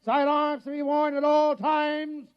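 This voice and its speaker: An actor playing a stern, commanding military inspector, formal and barking, in an old radio drama. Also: male voice, very high-pitched, completely flat delivery, measured pace. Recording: dull vintage radio recording.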